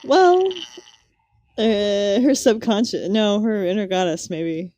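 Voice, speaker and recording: A woman's voice: medium pitch, slow, dark tone, slight background noise. A woman co-hosting a podcast, chatting about a book in a wry, conversational way. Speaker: podcast co-host. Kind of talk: casual comedic book discussion.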